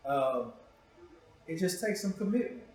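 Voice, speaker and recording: The recording is very reverberant, with the microphone some way off. A man with a slightly high voice hosts an informal interview. He teases, swears casually and circles back over his own phrases. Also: animated, a little slow, darkish tone. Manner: informal, playful, teasing, repeats himself. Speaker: talk show host. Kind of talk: casual interview chat, profane joking. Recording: very echoey room, distant mic.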